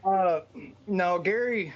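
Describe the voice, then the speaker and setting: A man's voice: high-pitched, slow, dull audio. A man guesting on a casual podcast, easygoing and full of fillers, laughing lightly between thoughts.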